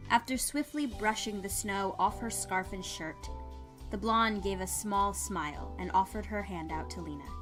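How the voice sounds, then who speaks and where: Woman narrating a story over music. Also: mid-range voice.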